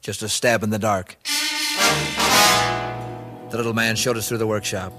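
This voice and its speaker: An actor playing a terse, dogged American police detective, narrating and questioning in a deadpan, clipped manner in a radio cop parody. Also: male voice, on the low side, moderate pace, loud music behind the voice, close to an everyday voice. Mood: dry.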